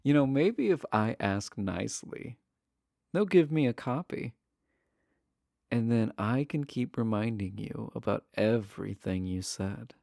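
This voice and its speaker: A man's voice: mid-range voice, lively intonation, a little slow, creaky, dark tone, no echo, clean studio sound. A man playing a charming, sly villain prisoner in an intimate roleplay audio, talking in a coy, flirtatious, casual way. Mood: sly, playful.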